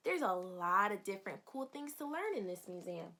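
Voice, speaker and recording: A woman teacher reading a picture book aloud to young children, expressive, explaining simply and asking questions. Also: mid-range voice, normal speed.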